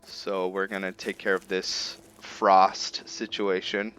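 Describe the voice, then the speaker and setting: Low-voiced man giving slow gameplay commentary. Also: level tone, fairly bright tone, clean tone. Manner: halting, thinking aloud. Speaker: gaming video host. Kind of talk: casual roleplay commentary over gameplay. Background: game music.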